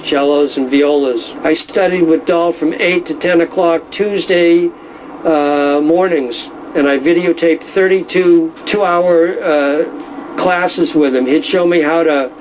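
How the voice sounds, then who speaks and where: Middle-aged guy, kinda high voice, talks slow and careful with lots of uhs, faint hum behind. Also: steady tone, poor audio. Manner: measured, knowledgeable, with frequent uh fillers. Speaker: violin dealer. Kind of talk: casual explanatory talk.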